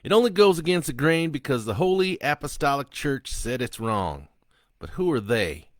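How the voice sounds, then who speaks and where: A man's voice: mid-range voice, very animated, normal speed, dry recording, studio quality, voice pushed up, natural voice. A man voicing a crude, gloating male killer on death row who mocks a priest. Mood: taunting. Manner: taunting, vulgar, mocking.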